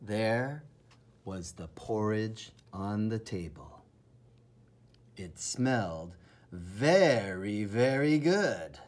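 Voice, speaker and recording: A man reading a children's storybook aloud with enthusiastic, theatrical emphasis and dramatic pauses in a husky, breathy voice. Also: lowish voice, expressive, a little mellow. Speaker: storybook reader. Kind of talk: children's read-aloud narration.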